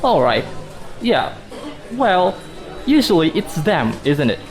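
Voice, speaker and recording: A man's voice: fairly high pitch, big pitch swings, slow, soft background music. A man playing a sarcastic, defensive office worker husband in a radio drama, sardonic and emphatic. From Indonesia. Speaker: actor in a student radio drama.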